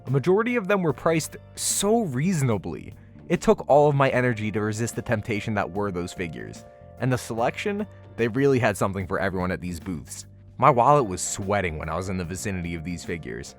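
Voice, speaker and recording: A man's voice: lowish voice, very animated, fairly quick, soft background music. A man narrating a convention vlog in a casual, slangy voice-over with wry, playfully exaggerated commentary. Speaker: vlogger narrating a convention walkthrough.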